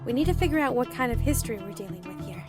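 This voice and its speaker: A woman's voice: on the high side, animated, very fast, music in the background, close to an everyday voice. A woman acting an eager, idealistic, nerdy young woman in a fan audio drama, earnest and playful. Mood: playful, bossy.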